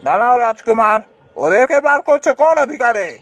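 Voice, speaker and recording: A woman's voice: fairly high pitch, very animated, brisk, slightly rough, no room sound. A woman voicing a stern, righteous royal elder in a cartoon, commanding and accusing.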